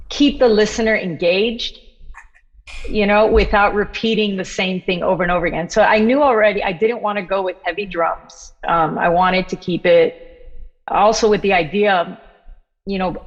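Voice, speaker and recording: A young adult woman, a drummer and composer, chatting on a video call from home, laughing often and quoting her own thoughts. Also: medium pitch. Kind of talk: casual chat on a video call. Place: room at home. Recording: echoey, dull video-call audio.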